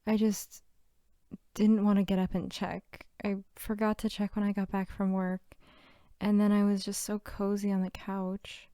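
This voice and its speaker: A woman playing a sweet, bookish, playful archivist girlfriend in an intimate ASMR roleplay, soft-spoken and teasing. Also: medium pitch, flat delivery, unhurried, no echo, clean studio sound, lightly acted. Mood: cozy, affectionate. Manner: soft-spoken, often near whisper, giggly, teasing.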